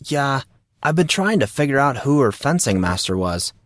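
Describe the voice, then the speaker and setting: A man's voice: medium pitch, big pitch swings, moderate pace, fairly bright tone, no room sound, studio quality, voice pushed up. A man voicing a sheepish teenage schoolboy who talks in a slangy, defensive way.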